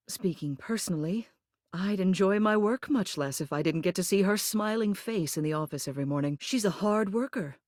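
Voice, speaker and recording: A woman's voice: on the low side, dry recording, lightly acted. Woman playing a genteel, commanding lady mayor, dry studio sound. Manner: formal, authoritative, genteel, fond endearments. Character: dignified, protective woman mayor, an old living household object. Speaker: voice actor in a dating sim game. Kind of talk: polished video game character acting.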